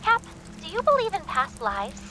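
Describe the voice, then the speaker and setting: A woman's voice: very high voice, wildly swooping pitch, moderate pace, bright, forceful tone, faint music behind, exaggerated voice. An actress playing a quirky young woman sidekick in a video game, playful and chatty. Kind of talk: video game character acting.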